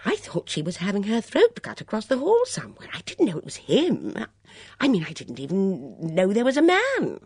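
A woman's voice: medium pitch, wildly swooping pitch, moderate pace, a little mellow, breathy edge, dry recording, clean studio sound, voice pushed up. A woman voicing a good-natured, vague, middle-aged lady in an audiobook, breathless, hesitant and rambling as she dithers. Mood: flustered.

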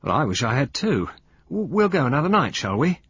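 A man's voice: medium pitch, big pitch swings, brisk, a bit bright, husky, no room sound, voice pushed up, full character voice. A man voicing an affable, easygoing young husband. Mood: cheerful. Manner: cheerful, then flustered. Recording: dull lo-fi recording.

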